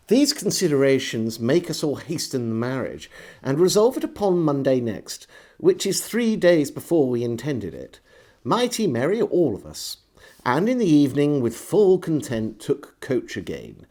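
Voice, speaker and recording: Guy reading an old diary like an audiobook, theatrical, pitch moves around a lot. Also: a little mellow. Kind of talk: expressive reading of a 17th-century diary.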